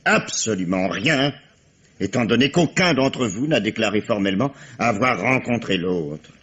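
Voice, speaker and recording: An actor playing a sardonic, authoritative police commissioner in a 1950s-style French radio mystery, speaking in a measured, methodical way. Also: male voice, lowish voice, animated, a bit bright, breathy edge, full character voice. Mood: dry, firm. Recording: dull, muffled vintage-style radio sound.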